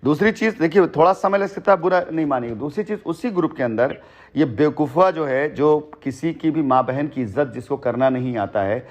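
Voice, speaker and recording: A man's voice: a little high, animated, a little fast. A man on a recorded phone call, explaining at length in an emphatic, long-winded way and repeating his phrases for weight. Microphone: telephone.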